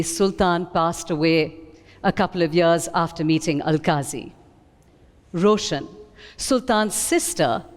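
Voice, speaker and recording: A woman reading a prepared synopsis aloud at a live event, in measured, clear phrases. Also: lowish voice. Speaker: reader at a book event. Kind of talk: scripted synopsis reading to an audience. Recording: long hall reverb.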